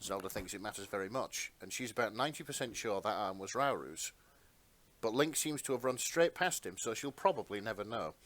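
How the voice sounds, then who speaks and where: A man's voice: fairly low pitch, animated, a little fast, a bit bright. A man narrating a story in a dramatic reading style for an amateur fan fiction performance. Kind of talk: dramatic narration and casual banter.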